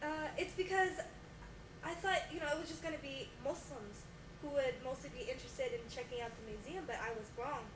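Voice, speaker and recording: A woman's voice: high-pitched, a little fast, close to an everyday voice. A young woman playing an earnest scientist guest in a homemade mock talk show, explaining things, on distant, echoey, noisy audio. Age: young adult. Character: earnest female scientist and exhibit creator as guest. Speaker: interview guest.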